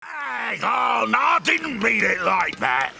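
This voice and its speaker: An actor playing an indignant, reluctant but scrappy fighter, shouting in exasperation. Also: male voice, very high-pitched, big pitch swings, slow, vocal fry, heavily acted. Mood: exasperated. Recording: music underneath.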